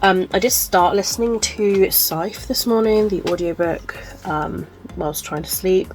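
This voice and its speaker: A woman's voice: mid-range voice, a little slow, soft background music, low-quality recording. A woman chatting casually to camera as a book vlogger, sounding chesty and congested, rambling with lots of ums and likes. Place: at home.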